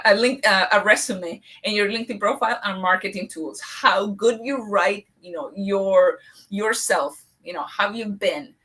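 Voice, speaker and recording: Latin American woman, mic set far off. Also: medium pitch. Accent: Latin American Spanish. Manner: animated, many restarts and fillers. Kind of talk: live online panel talk.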